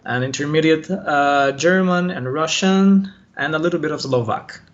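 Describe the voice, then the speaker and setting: Man from Brazil, Portuguese native, halting speech with fillers, dull lo-fi call sound. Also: on the high side. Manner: hesitant, many fillers and restarts. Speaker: online language teacher, interviewee. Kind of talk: casual interview answers.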